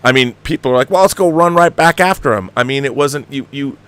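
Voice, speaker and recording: A man hosting a film commentary livestream, talking casually and bluntly in an emphatic, halting, opinionated way, repeating himself for emphasis. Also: mid-range voice, fairly bright tone, clear, resonant tone. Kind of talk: casual opinionated rant, answering viewer comments.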